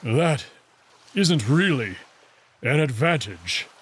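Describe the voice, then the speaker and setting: A man's voice: medium pitch, sing-song, slow, husky, heavily acted. A man voicing a big, proud, teasing young male wolf in a visual novel. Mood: matter-of-fact, friendly. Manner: teasing, confident. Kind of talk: visual novel character voice acting.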